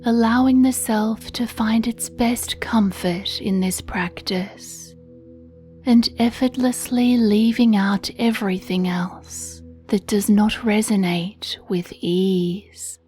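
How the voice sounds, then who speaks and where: A woman guiding a sleep meditation from a script in a soothing, hushed, breathy way, with long pauses. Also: medium pitch, steady tone, soft background music. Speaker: meditation guide.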